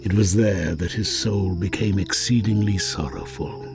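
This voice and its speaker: A man narrating a scripted audio drama in a solemn, deliberate way. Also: low-pitched, fairly even intonation, creaky. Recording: soft music behind.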